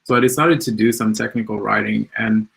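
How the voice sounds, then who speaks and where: A man's voice: fairly low pitch, steady tone, brisk, creaky, fairly bright tone, poor audio. A man from Mozambique whose first language is Portuguese, speaking in English about his path as an app developer in a hesitant way, with many ums and likes. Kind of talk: personal talk on tech journey.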